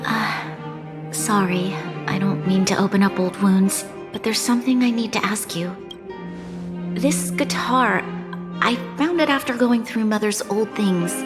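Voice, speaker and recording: A young woman ruler from a video game voiced by an actress, gracious and composed. She speaks formally and tactfully in a mid-pitched voice, ringing with long reverb over clearly audible music. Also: unhurried, lightly acted. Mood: tactful, hesitant.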